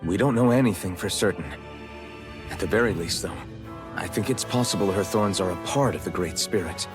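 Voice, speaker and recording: A lowish voice carries this earnest young fantasy hero, acted by a man. He is serious, plain-spoken and resolute, as in a video game cutscene, with soft music underneath. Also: fairly quick, lightly acted. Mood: serious, thoughtful.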